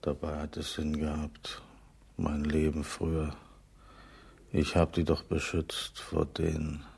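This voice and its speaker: A middle-aged man playing a stubborn, self-pitying former officer in a radio drama, gravelly and subdued. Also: very deep voice, takes it slowly, heavily acted. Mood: resigned. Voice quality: gravelly, husky. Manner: subdued, monotone.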